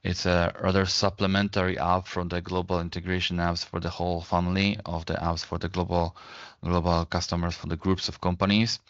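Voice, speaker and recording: A man explaining things in a webinar as a company presenter, in a halting way, often repeating and rephrasing his words. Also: low-pitched, flat delivery, no room sound, dull audio.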